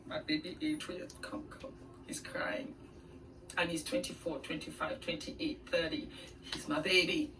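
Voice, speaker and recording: A woman's voice: low voice, flat delivery, measured pace. A woman giving earnest, conversational relationship advice, played in the background of another video. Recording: faint distant voice played in background with noise.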